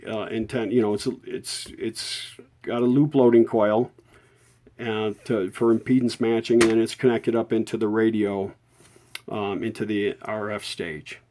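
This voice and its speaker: A man hosting an informal repair tutorial, explaining technical details off the cuff in a halting way and often correcting himself. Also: fairly low pitch, a little mellow. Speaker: host of a vintage radio repair tutorial. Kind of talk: unscripted hands-on technical explanation.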